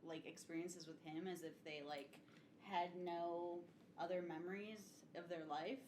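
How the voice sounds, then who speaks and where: A woman with a slightly low voice gives her opinions in loose, informal podcast conversation. She sounds wry and conversational. The recording is echoey, with faint noise in the background. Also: steady tone, measured pace, a little mellow. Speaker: podcast panelist. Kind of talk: casual opinionated chat.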